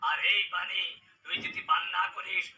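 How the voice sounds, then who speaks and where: A man's voice: sits high, flat delivery, normal speed, bright, forceful tone. Guy doing a pompous fake holy man cartoon, really piercing voice, bit far off w music. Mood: commanding, sly. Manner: commanding, pompous. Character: domineering fake holy man, a sly miracle-selling swindler. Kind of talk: cartoon character dialogue.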